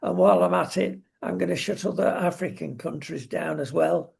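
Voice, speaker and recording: A talk show presenter with a gravelly, husky voice, giving wry, opinionated takes in conversational talk about current affairs. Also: medium pitch, moderate pace, a little mellow.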